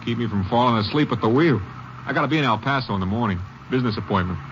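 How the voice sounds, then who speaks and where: A man's voice: mid-range voice, rapid-fire, fairly bright tone, natural voice. An actor playing a chatty, trusting travelling salesman with a New York accent in an old radio drama. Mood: easygoing, tired. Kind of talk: 1950s radio drama acting. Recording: dull old radio recording, car noise.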